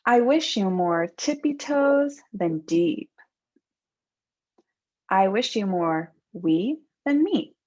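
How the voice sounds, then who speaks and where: A woman volunteer reading a picture book aloud in measured phrases over a muffled video call. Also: mid-range voice, expressive, darkish tone. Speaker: volunteer storybook reader.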